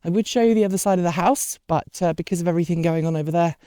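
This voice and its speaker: A woman's voice: fairly low pitch, racing, mellow, clear, resonant tone, dry recording, clean studio sound. Sniffly woman chatting in her garden. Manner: chatty, rambling, with many ums. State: sniffly from a cold. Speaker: vlog host. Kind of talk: casual unscripted garden vlog; scripted outro thanking supporters. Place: outdoor garden.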